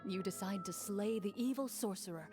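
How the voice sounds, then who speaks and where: A woman playing a composed, formal female duelist and hunter in a fantasy video game, speaking in a solemn, measured way. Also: medium pitch, a little mellow, close to an everyday voice. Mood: solemn narrating. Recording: game music under the voice.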